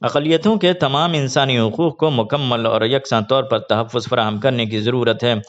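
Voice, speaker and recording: A man's voice: medium pitch, a little fast. Dull-sounding man reading formal news. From India. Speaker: news presenter. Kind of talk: scripted news reading in formal Urdu register. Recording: dull lo-fi online video audio.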